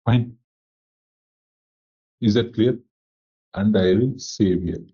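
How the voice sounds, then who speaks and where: A man working as a software trainer, walking through a live demo step by step, thinking aloud and asking himself questions. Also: fairly low pitch, slow, slightly rough, dull audio.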